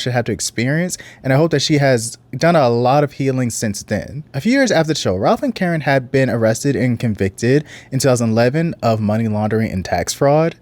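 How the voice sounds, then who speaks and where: An earnest man with a deep voice, giving casual, reflective reaction commentary. His pitch sits in a middle range, and the recording is dry and close to the mic, with no room sound. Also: darkish tone, no room sound. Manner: earnest, reflective, sometimes reads out case details.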